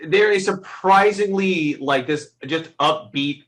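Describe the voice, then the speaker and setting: A man in a casual, unscripted film review, speaking haltingly and groping for his words. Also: fairly high pitch, fairly bright tone.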